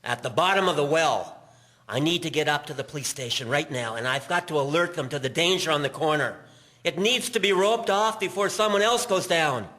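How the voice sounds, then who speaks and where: A man's voice: a little high, animated, brisk, pushed and bright. An actor playing an urgent, kindly man coming to the rescue in an audio drama, speaking with reassurance. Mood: relieved, earnest. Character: urgent, kindly male passer-by rescuing a boy. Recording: echoey reverb with clear hiss.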